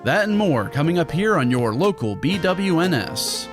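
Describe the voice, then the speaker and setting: A man's voice: a little high, very animated, moderate pace. A man narrating a scripted video game review in a wry, sarcastic, casual way. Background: background music.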